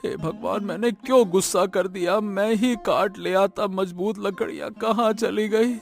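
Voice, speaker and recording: A poor woodcutter husband full of remorse , acted by a man. He wails melodramatically in a high voice at a slow pace, in a cartoon tale with soft music behind. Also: vocal fry, exaggerated voice. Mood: bewildered, anxious. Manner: wailing, repetitive, melodramatic.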